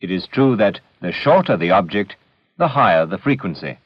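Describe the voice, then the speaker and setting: A man's voice: low voice, very animated. Dull old film soundtrack, male educational narrator. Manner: measured, didactic, deliberate phrasing.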